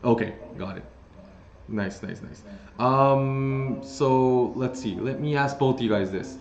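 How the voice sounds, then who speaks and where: A man hosting a casual interview chat, relaxed and full of small acknowledgments. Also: mid-range voice, slow, vocal fry, reverberant, muffled recording. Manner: relaxed, many filler acknowledgments. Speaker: host.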